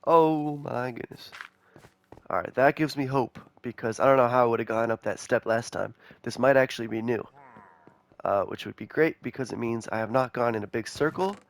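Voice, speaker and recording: A young man giving casual, rambling commentary over a game, excitable and chatty with viewers, his voice a little nasal from a cold. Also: mid-range voice, moderate pace, gravelly. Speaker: gaming YouTuber.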